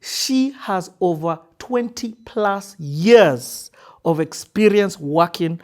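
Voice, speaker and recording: A man's voice: sits high, lively intonation, slow. A man hosting a podcast, introducing his guest with rallying, crowd-pumping energy in her home. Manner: hype-building, crowd-rallying delivery with loud surges. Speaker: podcast host. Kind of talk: energetic show introduction and conversation.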